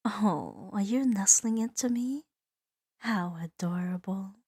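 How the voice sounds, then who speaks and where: A woman voicing a seductive, possessive female demon in an intimate ASMR roleplay, teasing and soothing with soft dominance. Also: mid-range voice, slow, breathy edge. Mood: tender, hushed. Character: seductive, possessive female demon doting on her new pet.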